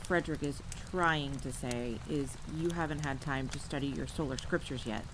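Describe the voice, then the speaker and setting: An actress playing a dry, gruff, unimpressed female crossbow fighter in a fantasy roleplay audio drama, deadpan, curt and teasing. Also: moderate pace. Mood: deadpan explaining. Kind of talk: immersive roleplay audio drama addressed to the listener. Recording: loud rain and rumble behind voice. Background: rain, campfire ambience, rolling thunder.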